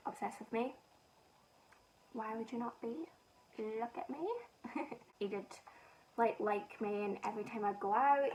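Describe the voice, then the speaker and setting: A young woman from Scotland with a Scottish accent softened by a northern English lilt, chatting casually and self-mockingly while doing her makeup. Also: medium pitch, recorded from a distance, slight background noise, low-quality recording. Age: young adult. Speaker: beauty vlogger.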